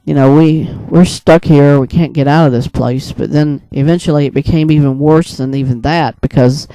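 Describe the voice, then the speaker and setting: A man with a mellow, smooth voice, pitched a little high, talks casually without a script. He rambles through his storytelling, hesitating and restarting as he goes. The sound is close and dry. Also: steady tone, no room sound, low-quality recording. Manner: rambling, hesitant, halting mid-thought. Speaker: video creator and storyteller. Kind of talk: casual unscripted monologue recounting a dream.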